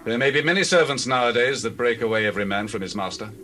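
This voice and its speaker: A man portrays a scornful, wealthy sheep owner in a biblical drama. The voice is mid-pitched and hard-edged, full of indignant contempt. Light animal ambience runs behind it. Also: fast, pushed and bright, a little hiss or hum, close to an everyday voice. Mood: scornful indignation. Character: churlish, scornful rich sheep farmer. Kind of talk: dramatized scripture reading.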